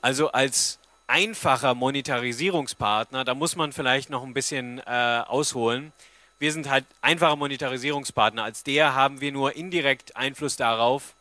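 A man's voice: mid-range voice, moderate pace, bright, forceful tone, no room sound. A man in his thirties giving an informal, explanatory talk on stage into a stand microphone and answering questions. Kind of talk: informal public presentation with audience Q&A. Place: stage at a book fair forum. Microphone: handheld-type microphone on a stand.

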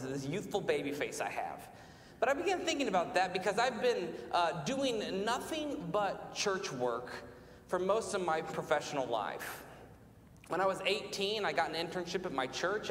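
An American man in his late thirties, a pastor giving a conversational, self-deprecating sermon with questions to the congregation. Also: fairly bright tone. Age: late 30s. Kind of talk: conversational sermon with personal testimony. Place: large church sanctuary, speaking from the front. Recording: echoey church hall, faint rumbling noise.

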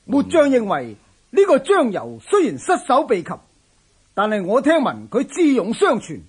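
Persuasive military general, husky, very animated, declamatory, radio drama. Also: male voice, very high-pitched, moderate pace, exaggerated voice. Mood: respectful, urging. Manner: declamatory, forceful oratory. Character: zealous Han general serving the Mongols, shrewd, persuasive. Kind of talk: Cantonese historical radio drama acting.

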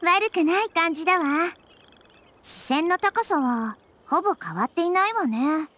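High-voiced composed little girl deity. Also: lively intonation, a little slow, exaggerated voice. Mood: calm, curious. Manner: composed, gentle, articulate. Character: calm, curious child-like little girl deity. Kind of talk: Japanese anime game dialogue. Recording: faint game music behind.

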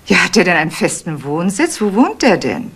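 A woman's voice: lowish voice, very animated, moderate pace, slightly rough, exaggerated voice. A woman acting an insistent, curt married complainant in a radio crime drama. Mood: insistent, indignant.

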